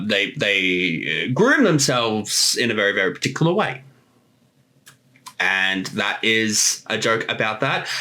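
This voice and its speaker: A man's voice: medium pitch, bright, forceful tone. A man chatting casually and reflectively as a creator, explaining his work in a director's commentary. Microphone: room mic, no headphones.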